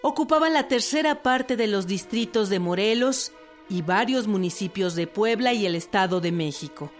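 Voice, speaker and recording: A woman's voice: medium pitch, animated, moderate pace. A woman with a Mexican accent reading scripted documentary narration. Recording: music behind the voice. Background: music.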